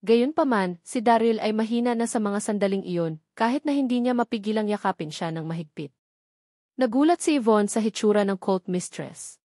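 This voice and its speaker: A woman's voice: medium pitch, darkish tone, dry recording. Woman narrating a story, very dry sound. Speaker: audiobook narrator.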